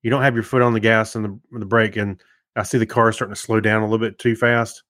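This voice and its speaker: A man's voice: fairly low pitch, little pitch movement, quick pace, a bit bright, dry recording, studio quality. A man co-hosting a podcast, chatting casually, rambling and laughing often. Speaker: podcast co-host. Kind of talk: casual banter.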